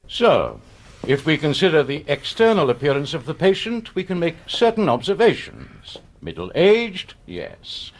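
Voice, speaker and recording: An actor playing a brisk, sardonic, superior British surgeon in a radio drama, with a dry lecturing manner. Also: male voice, a little high, big pitch swings, exaggerated voice. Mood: brisk, sarcastic.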